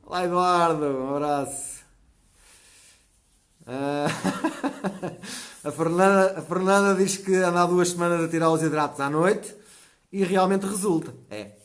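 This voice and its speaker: A man in his forties with a European Portuguese accent, hosting a natural health live stream and chatting through viewer comments. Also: fairly high pitch. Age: 40s. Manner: chatty, often checks in with a quick "tá?". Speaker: natural health channel host.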